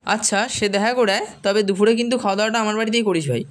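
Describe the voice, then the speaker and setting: A man's voice: sits high, steady tone, very fast, close to an everyday voice. A man playing a chummy, persuasive young school friend, colloquial and coaxing, in a dramatized story. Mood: friendly.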